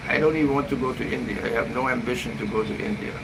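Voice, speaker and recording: A man's voice: medium pitch, quick pace. A man chatting off the cuff in a blunt, profane, slangy livestream style. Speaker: travel vlogger giving a live update. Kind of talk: rambling casual live-stream chat. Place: hotel room, indoors. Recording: noisy room with steady background hum.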